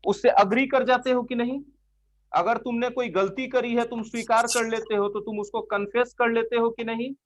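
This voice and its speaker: A man's voice: very high-pitched, a bit bright, no echo. A male teacher giving an online English vocabulary lesson in Hindi, who repeats his own questions and keeps pressing the class for yes-or-no answers. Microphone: online class microphone. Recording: dull, dry online lecture audio.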